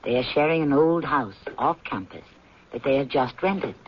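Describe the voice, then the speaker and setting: A man hosting and narrating a 1970s radio drama in a formal, measured, didactic scripted style. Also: medium pitch, expressive, background noise. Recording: muffled 1970s radio broadcast.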